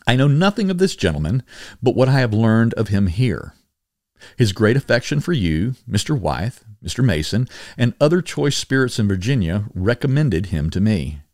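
A man reading historical letters aloud in a formal, measured, oratorical manner, as a podcast host would. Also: lowish voice, big pitch swings, darkish tone, no room sound, studio quality. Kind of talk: formal scripted reading of 18th-century letters.

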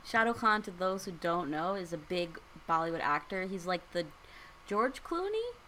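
A woman's voice: fairly low pitch, big pitch swings, moderate pace, bright, forceful tone, a little hiss or hum. A woman in her early twenties co-hosting a casual podcast chat, dry and teasing toward the others. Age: 20s. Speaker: podcast co-host.

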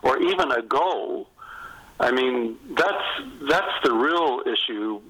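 A man's voice: medium pitch, animated, takes it slowly, pushed and bright, low-quality recording. A man speaking as an author guest in a conversational podcast interview, telling stories candidly.

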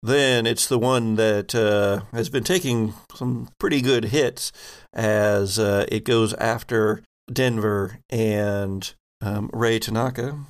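A man acting as game master, narrating a tabletop roleplaying game with halting, improvised descriptions. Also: on the low side, steady tone.

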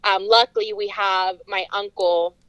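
A young woman from California, a podcast guest, telling childhood stories in a lively way and laughing often. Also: mid-range voice, measured pace, clear, resonant tone, no echo, low-quality recording. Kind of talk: casual chat.

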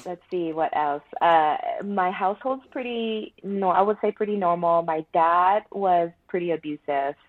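Low-voiced woman on a tinny line. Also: a little slow, hardly any pauses, no echo. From Malaysia. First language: Mandarin Chinese. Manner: chatty, frequent laughs and fillers. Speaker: therapist guest. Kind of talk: casual interview conversation. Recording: dry, tinny phone-like line.

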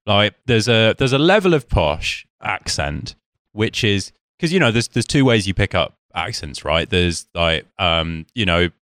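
A man's voice: on the low side, big pitch swings, measured pace, a bit bright, no echo, clean studio sound. A man with an English received pronunciation accent, chatting in a wry, joking way full of ums. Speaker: podcast co-host. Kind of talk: casual chat.

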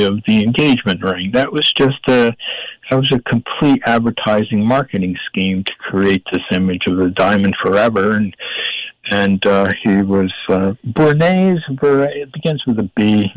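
An older man with a gravelly voice, a guest in an interview, talking in a rambling, emphatic way while searching for words. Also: fairly low pitch, no room sound. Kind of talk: casual interview talk. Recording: dull, dry audio.

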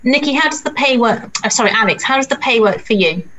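Female panel moderator; brisk, higher pitch, faint noise behind. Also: animated. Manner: question-asking, brisk. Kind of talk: panel discussion.

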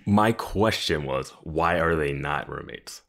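A man's voice: a bass voice, measured pace, vocal fry, fairly bright tone. A young man co-hosting a casual podcast, joking around and laughing often while chatting about a show. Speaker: podcast co-host. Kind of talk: casual chat.

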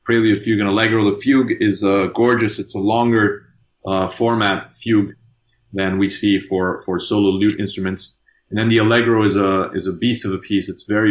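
Low-voiced enthusiastic man, Mexican-born guitarist. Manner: enthusiastic, frequent restarts and repeated words. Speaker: guest composer and guitarist. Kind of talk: casual podcast chat.

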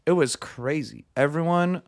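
A man's voice: a little high, a little slow. A young man raised in Montreal, a podcast guest telling stories in casual chat, blunt and profane, acting out the dialogue. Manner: animated, acts out quoted dialogue.

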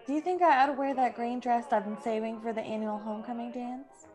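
A woman's voice: a little high, a little fast, darkish tone, soft background music, lightly acted. An actress playing an eager, frank eighteen-year-old college girl in a classic radio play, earnest and girlish. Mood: giddy.

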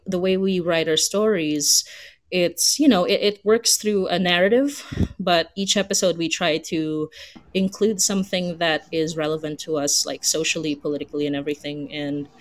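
A woman's voice: on the low side, no echo. A woman from the Philippines speaking casually as a writer on a panel, informal and thinking aloud. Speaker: panel guest, audio drama writer.

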